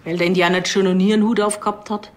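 A woman's voice: medium pitch, flat delivery, racing, a little mellow, close to an everyday voice. An actress playing a defensive married woman in a television crime comedy. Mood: indignant.

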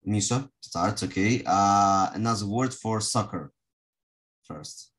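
Guy teaching english online, low voice, slow, lots of halting pauses. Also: a bit bright, husky. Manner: halting, with long hesitations. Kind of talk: online group lesson, asking pupils questions. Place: online video call.

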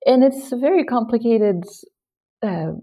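A woman who grew up in French Polynesia, speaking as a literature professor in an interview, earnest and measured. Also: on the high side, animated, mellow, breathy edge. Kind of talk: interview answers.

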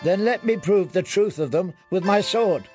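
A man's voice: high voice, moderate pace, darkish tone, faint music behind, pitched above the performer's own voice, lightly acted. A man voicing a proud, insistent young knight in a declamatory audiobook style, pleading his loyalty. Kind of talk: audiobook character acting.

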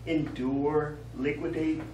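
A man's voice: fairly high pitch, little pitch movement, slow, darkish tone. A man on stage playing a sarcastic, grumbling husband with a dry, impatient manner. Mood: exasperated. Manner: dry, exasperated. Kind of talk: live stage play acting. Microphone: far camera. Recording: echoey stage, distant mic, noisy.

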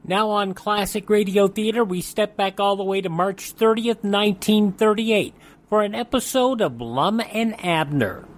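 A man's voice: high-pitched, unhurried. A man presenting a radio show as its host, partly from a script.

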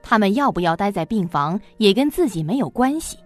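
A woman narrating a suspense audio drama in an expressive storytelling manner. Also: mid-range voice, fairly quick, soft background music, close to an everyday voice.

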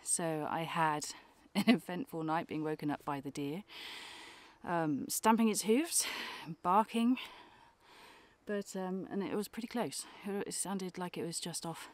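Woman in her thirties, low-ish voice, chatty outdoor vlog to a handheld camera, outdoors in woods. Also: measured pace, no echo. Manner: chatty, hesitant with many fillers. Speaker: outdoor vlogger. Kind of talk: casual camping vlog. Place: forest outdoors. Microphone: camera at arm's length.

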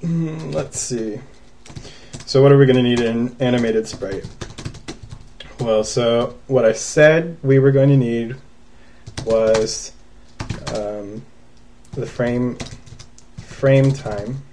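Young man, unhurried casual tutor, soft mellow tone, faint typing behind. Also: mid-range voice, slight background noise. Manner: casual, thinking aloud with many ums. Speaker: programming tutorial host. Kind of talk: screencast coding tutorial.

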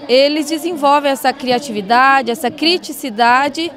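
A woman's voice: high-pitched, moderate pace, a bit bright. A woman in her forties, a teacher, explaining confidently in an interview on a handheld mic. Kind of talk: on-camera interview. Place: crowded indoor school hall. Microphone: handheld reporter mic.

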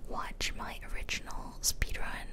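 A woman speaks entirely in a whisper, as in an ASMR video. She narrates her gameplay casually, chatting and rambling along like a streamer talking to viewers. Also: unhurried.

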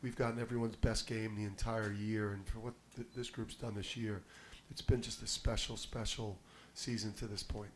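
A man's voice: on the low side, flat delivery, moderate pace, hardly any pauses, slight background noise. A middle-aged man, a basketball head coach, answering press questions with confident, emphatic talk and restarts, into a table mic in a press room. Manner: confident, emphatic, repeats words when starting. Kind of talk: postgame press conference answers. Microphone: table mic on a stand.

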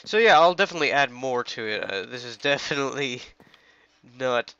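A man's voice: medium pitch, brisk, clear, resonant tone, no room sound, muffled recording. Congested young man narrating a game. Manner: rambling and chatty, with sudden excited outbursts. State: congested from illness. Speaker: gaming channel host. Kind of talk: casual let's play commentary. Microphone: headset.

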